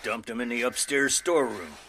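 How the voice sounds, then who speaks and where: An actor playing a paranoid, folksy rural gun-store owner with a gruff drawl in an audio drama. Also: male voice, mid-range voice, expressive, brisk, pushed and bright. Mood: defensive, stubborn.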